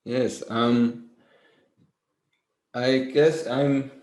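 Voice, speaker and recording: A man's voice: medium pitch, fairly even intonation, darkish tone, roomy sound. Trage, vlakke man uit Zwitserland. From Switzerland. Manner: measured, with many fillers. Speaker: composer. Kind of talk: webinar interview. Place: grandmother's house. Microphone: video call.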